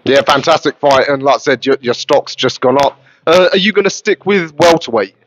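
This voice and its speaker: A man's voice: on the high side, brisk, fairly bright tone, no room sound, rough recording. A man interviewing a boxer at a gym, asking long, rambling questions with plenty of ums and uhs. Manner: chatty, rambling questions with fillers. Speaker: boxing interviewer. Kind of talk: casual interview. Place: boxing gym, indoors.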